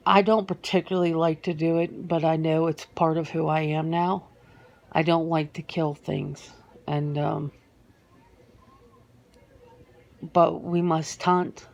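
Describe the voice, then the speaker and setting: A woman playing a reluctant, sentimental female werewolf in a soft-spoken ASMR interview roleplay, speaking haltingly and repeating words. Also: low-pitched, gravelly, heavily acted.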